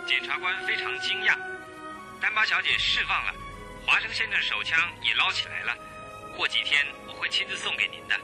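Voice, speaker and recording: A high-pitched, brisk police inspector. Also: male voice, sing-song, over music. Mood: excited, grateful. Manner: brisk, reporting. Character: grateful, brisk police inspector reporting by telephone. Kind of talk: Chinese radio drama acting.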